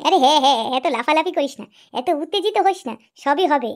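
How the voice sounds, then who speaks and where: A woman's voice: high-pitched, animated, fast talker. A woman voicing crude, boisterous young village drinking buddies bantering in a comedy cartoon. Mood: brash. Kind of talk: Bengali comedy cartoon dialogue.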